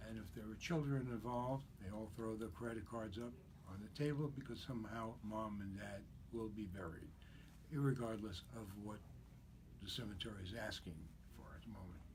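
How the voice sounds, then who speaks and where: A man in his seventies with a lowish voice, explaining things in a steady, businesslike manner. He's a guest on a radio show, and there's a clear hiss of noise under the broadcast. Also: normal speed. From born in Czechoslovakia, raised in New York. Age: 70s. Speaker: guest, cemetery broker. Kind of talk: radio interview.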